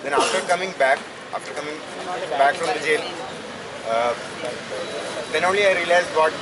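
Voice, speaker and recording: A man in his twenties with an Indian accent gives an impromptu public address as a student speaker, haltingly repeating phrases as he searches for words. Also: on the high side. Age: 20s. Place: outdoors at night under a canopy. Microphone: handheld microphone, recorded from a distant camera. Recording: noisy outdoor PA, distant camera pickup.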